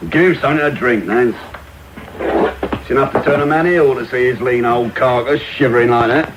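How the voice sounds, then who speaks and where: An actor playing a brutal, surly housebreaker, gruff and blunt, in a radio drama. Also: male voice, medium pitch, normal speed, close to an everyday voice. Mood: scornful, surly. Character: brutal, surly male housebreaker, rough and threatening. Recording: very noisy medium-wave radio broadcast off tape.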